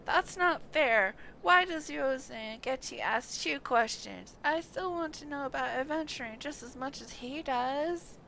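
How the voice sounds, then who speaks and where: A woman's voice: sits high, lively intonation, a little slow, creaky, hardly any pauses, pushed and bright, a little hiss or hum, pitched above the performer's own voice, full character voice, rough recording. A woman voicing a small, inquisitive girl from a video game, childlike, earnest and insistent. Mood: indignant.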